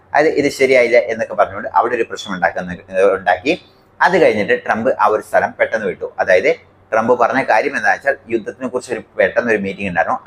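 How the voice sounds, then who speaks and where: A man's voice: on the low side, fairly bright tone, slight background noise. A man from India with a Malayali accent explains the news to viewers as a commentary host, emphatic and point by point.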